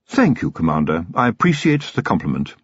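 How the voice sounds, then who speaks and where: A man voicing a loyal, dry-witted male butler who speaks politely with quiet danger underneath. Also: low voice, wildly swooping pitch, a little fast, slightly rough, no room sound, dull audio, pitched below the performer's own voice, exaggerated voice.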